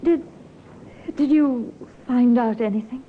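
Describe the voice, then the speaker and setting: Genteel, high-voiced young widow. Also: female voice, slow pace. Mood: guarded, tense. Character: tremulous, defensive young widow. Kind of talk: 1950s radio acting. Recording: muffled, noisy old radio recording.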